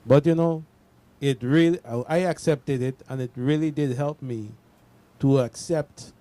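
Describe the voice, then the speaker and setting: A man with a mellow, dark tone and a medium pitch speaks casually about his own experience. He is conversational and keeps checking in with his listeners. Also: a little slow. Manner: conversational, often adds "you know". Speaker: discussion participant. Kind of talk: casual personal account.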